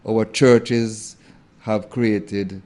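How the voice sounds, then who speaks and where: Thoughtful man, low mellow voice. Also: steady tone. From Jamaica. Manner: thoughtful, halting, many fillers and restarts. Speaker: interview guest, theologian. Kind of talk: reflective interview answer.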